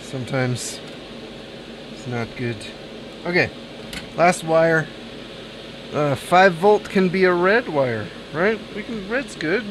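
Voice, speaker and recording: Repair streamer, male, relaxed think-aloud banter; noisy room hum underneath. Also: fairly high pitch, takes it slowly, pauses often. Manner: thinks aloud, chats with viewers between tasks. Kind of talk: casual live-stream chat while soldering.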